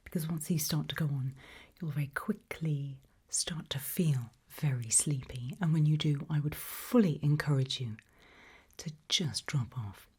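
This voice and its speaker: Breathy whispering British woman, slow and soothing. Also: low voice. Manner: gentle, soothing, unhurried reassurance. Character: calm, attentive sleep clinic practitioner. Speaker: ASMR roleplayer playing a sleep clinic practitioner. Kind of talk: whispered ASMR roleplay.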